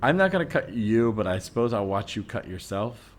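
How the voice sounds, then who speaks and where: A man improvising a skeptical, uneasy ordinary neighbor in a comedy podcast scene, reasoning patiently in a hesitant, deadpan way. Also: on the low side, fairly quick, slight background noise. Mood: reluctant.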